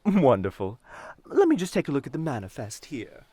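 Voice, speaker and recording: A chirpy merchant for an audio roleplay. The pitch is lifted high and swoops wildly in a sing-song, fawning patter. The sound is close and very dry. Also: male voice, wildly swooping pitch, moderate pace, husky, dry recording. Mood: cheery, fawning. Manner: bright, sing-song, ingratiating, calls listener darling. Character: assassin disguised as a chirpy, fawning merchant shopkeeper. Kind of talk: ASMR roleplay, scripted character acting.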